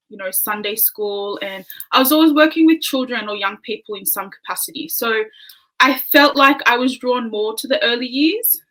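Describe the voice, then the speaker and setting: A woman's voice: a little high, bright, forceful tone, rough recording. A woman, an early childhood educator, talking modestly and casually as a podcast guest over a video call. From Australia. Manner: modest, friendly, many "um"s. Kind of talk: casual interview chat.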